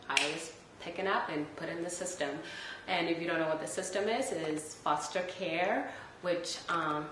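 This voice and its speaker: A woman, a mother, introducing herself and telling her life story in a halting way. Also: lowish voice, fairly bright tone. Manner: halting, with frequent "um". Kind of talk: personal self-introduction. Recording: very echoey room with faint noise.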